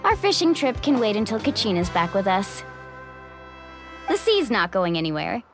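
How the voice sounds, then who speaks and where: A woman's voice: medium pitch, very animated, normal speed. A woman playing an upbeat, confident young warrior of a seaside tribe in video game dialogue, easygoing and reassuring, with music behind her. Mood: hopeful.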